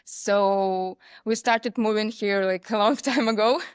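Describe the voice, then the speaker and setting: A woman from Belarus with a Belarusian accent, a podcast guest speaking English hesitantly with many pauses for words. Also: medium pitch, steady tone, clean tone, no room sound, clean studio sound. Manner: hesitant, searching for words, frequent uhs. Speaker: guest, agency founder. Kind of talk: casual interview chat.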